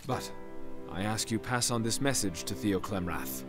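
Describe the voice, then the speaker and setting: A man's voice: on the low side, moderate pace, natural voice. A man playing a proud, dutiful knight captain in a video game, speaking in a grave and formal manner. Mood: formal, restrained. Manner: formal, grave, deferential yet reproachful. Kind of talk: dramatic video game cutscene acting. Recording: music behind the voice.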